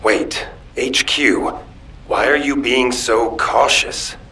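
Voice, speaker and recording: Forceful hot-headed male soldier. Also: mid-range voice, steady tone, slow. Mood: incredulous. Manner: forceful, blunt.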